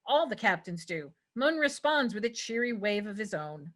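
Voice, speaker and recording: A woman's voice: mid-range voice, very animated, normal speed, a bit bright. A woman narrating a screenplay, reading out scripted stage directions at a table read.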